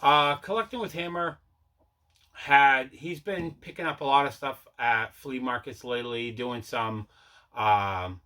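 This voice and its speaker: Bright-voiced man, casual unboxing host. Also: mid-range voice. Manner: rambling, conversational, self-correcting. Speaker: host of a collecting channel. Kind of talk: casual unboxing vlog chat.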